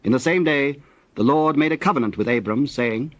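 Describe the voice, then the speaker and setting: Measured male scripture narrator, mid pitch. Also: no room sound.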